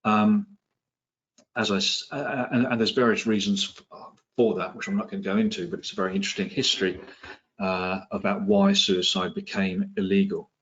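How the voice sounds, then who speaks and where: A middle-aged man from England, his accent softened by years in New Zealand, giving an online talk as a psychiatrist, with many ums, restarts and dry asides. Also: on the low side, level tone, normal speed, highs cut off. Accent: English, with some New Zealand influence. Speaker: psychiatrist and presenter. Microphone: video call.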